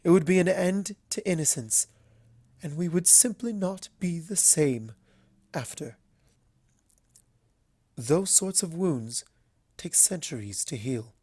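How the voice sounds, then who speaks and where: Refined, earnest unicorn mare, formal and measured, acted by a narrator. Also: medium pitch, warm tone, husky, dry recording. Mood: grave, composed. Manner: formal, measured, deliberate speech. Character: refined, earnest female unicorn pony speaking solemnly. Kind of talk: dramatic fan fiction reading.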